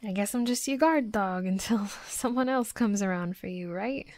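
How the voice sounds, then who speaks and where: A woman voicing a tough young female werewolf in an intimate roleplay audio drama, gruffly defensive and stammering, secretly smitten. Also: medium pitch, normal speed, a little mellow, no room sound, close to an everyday voice. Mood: shy, flustered.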